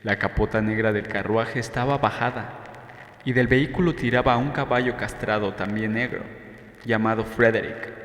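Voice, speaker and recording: A man's voice: medium pitch, a bit bright. A man reading a novel as an audiobook narrator, in scripted first-person storytelling. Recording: echoey reverberant room, faint background noise.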